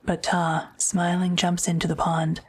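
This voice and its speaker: A woman narrator with a low, flat-toned voice reads scripted drama narration. She is measured and matter-of-fact. Clear ambient noise sits behind her.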